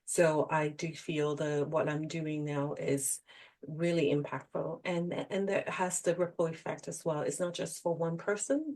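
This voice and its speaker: A woman's voice: low-pitched, a little mellow. A middle-aged woman, talking conversationally as an executive coach being interviewed on a podcast. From Hong Kong.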